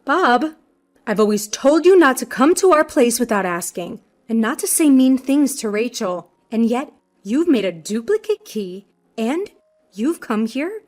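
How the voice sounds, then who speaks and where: A woman's voice: a little high, sing-song, measured pace. A woman voicing a devoted, protective young husband in a story, with an earnest, plain-spoken manner. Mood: angry. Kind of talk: dramatized storytelling.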